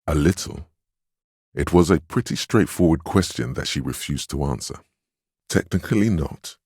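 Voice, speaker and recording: A detective's inner willpower voice for a game, super deep n raspy, calm but firm, kinda wry and protective. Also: male voice, dry recording, studio quality, heavily acted. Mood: wary, urging. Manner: measured, calmly commanding, dryly reassuring. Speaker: video game voice actor. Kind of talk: scripted game dialogue, second-person inner monologue.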